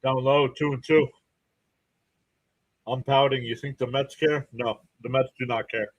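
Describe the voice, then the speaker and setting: A man's voice: medium pitch, steady tone, a little slow, highs cut off. A man hosting a live baseball stream, mixing clipped play-by-play calls with informal fan asides and chat to viewers. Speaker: livestream play-by-play host and fan.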